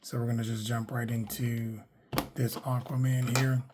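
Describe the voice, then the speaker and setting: A man with a hoarse, worn voice, chatting casually through a toy unboxing, rambling with lots of fillers and self-corrections. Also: medium pitch, level tone, moderate pace, very noisy. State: hoarse, losing his voice. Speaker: toy collector reviewing action figures.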